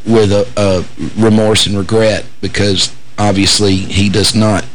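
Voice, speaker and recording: A man with a hoarse, raspy, somewhat low voice hosts a talk radio show. He talks in a casual, wry way, throwing in jokes and stumbling through fillers and restarts. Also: flat delivery, unhurried, slight background noise, low-quality recording. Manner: wry, joking asides, frequent fillers and restarts.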